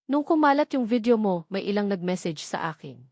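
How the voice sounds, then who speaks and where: A woman's voice: mid-range voice, lively intonation, brisk, darkish tone, no echo, clean studio sound, lightly acted. A woman storyteller voicing a shy, kind young female vendor, modest and sincere, in a love story. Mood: gentle, sincere.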